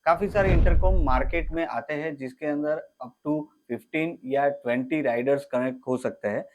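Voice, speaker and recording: Fairly high-voiced Indian man, guest expert. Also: moderate pace, poor audio. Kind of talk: explanatory expert opinion.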